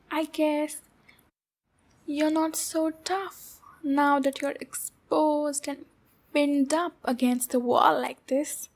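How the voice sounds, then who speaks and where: Girl voice actor doing a yandere demon girl, high voice, slow n cooing, clingy and a bit menacing, roleplay asmr. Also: darkish tone. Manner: slow, cooing, possessive, sweetly threatening. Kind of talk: roleplay ASMR, intimate scripted monologue addressed to listener.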